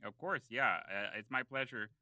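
A man co-hosting a comedy podcast in improvised, in-character banter, speaking in a halting, rambling, mock-earnest way. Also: mid-range voice, pushed and bright, no room sound, studio quality. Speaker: podcast co-host.

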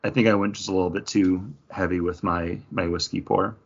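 Guy chatting on a podcast, kinda raspy and low, moves around in pitch, dull audio. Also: fairly even intonation, brisk. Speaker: podcast co-host. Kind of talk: casual chat.

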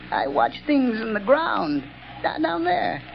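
Unhinged watchman, very high creaky voice, nervous stammer, childlike giggle, muffled vintage radio drama. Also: huge pitch swings, takes it slowly, full character voice. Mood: meek, nervous. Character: giggling, unhinged male night watchman plotting murder. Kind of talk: 1940s radio acting. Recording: muffled old-time radio recording.

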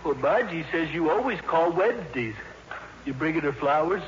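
A man's voice: fairly high pitch, expressive, moderate pace, natural voice. An actor playing an eager, naive, talkative teenage boy in a 1950s radio drama, with a gushing, awkward manner. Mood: eager, nosy. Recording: muffled, reverberant, noisy old radio recording.

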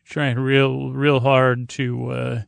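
A man with a creaky voice hosting a bedtime podcast in a meandering, halting monologue, stammering through tangents in a languid, lulling way. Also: medium pitch, monotone, no room sound. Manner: languid, drawn-out delivery meant to lull. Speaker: podcast host.

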